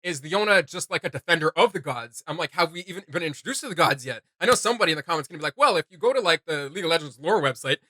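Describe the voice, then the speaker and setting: Bright, enthusiastic man chatting excitedly. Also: on the high side, very fast, few pauses, no room sound. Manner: enthusiastic, emphatic, slangy. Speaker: reaction video co-host. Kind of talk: casual excited reaction chat.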